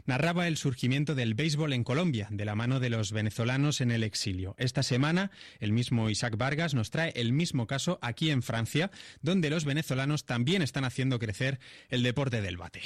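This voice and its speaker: A man's voice: mid-range voice, a little fast, dry recording, clean studio sound. Man announcing a radio segment. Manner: steady announcing. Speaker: radio host. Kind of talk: scripted show introduction.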